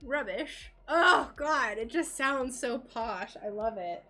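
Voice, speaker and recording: Slow, chatty American woman. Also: on the high side, expressive, over music. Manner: chatty, giggly, rambling with fillers. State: slightly worn voice. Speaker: craft streamer. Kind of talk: casual chat while working.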